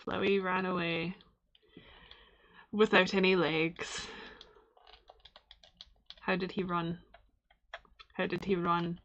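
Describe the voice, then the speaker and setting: Bright-voiced Scottish woman streamer. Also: lowish voice, little pitch movement, takes it slowly, long pauses, muffled recording. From Glasgow, Scotland. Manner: chatty, laughs often, rambling asides. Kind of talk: live game stream, reading game text aloud.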